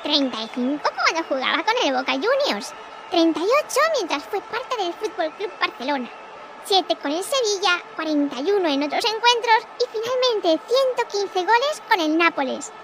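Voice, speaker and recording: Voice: extremely high pitch, animated, normal speed, noisy background. A performer voicing a small, eager, childlike underwater creature who explains things with exclamations on a children's podcast. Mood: cheerful, eager. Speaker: children's show co-host. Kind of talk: scripted children's podcast chat.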